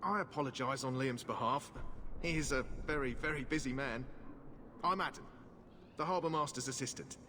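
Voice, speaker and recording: An actor playing a falsely polite, bribe-seeking harbour assistant in a video game. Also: male voice, mid-range voice, normal speed, pushed and bright, natural voice. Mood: smug, coy. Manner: mock-apologetic, falsely polite. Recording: reverberant, noisy outdoor ambience.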